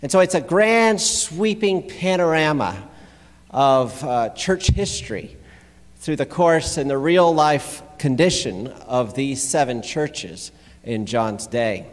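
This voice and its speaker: A middle-aged man giving a devotional as a pastor, speaking in a measured, halting, reflective way from a pulpit. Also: fairly high pitch, animated. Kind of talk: sermon with prayer and scripture reading. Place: large church sanctuary, at the pulpit. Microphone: gooseneck pulpit microphone. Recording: echoey church hall with long reverb.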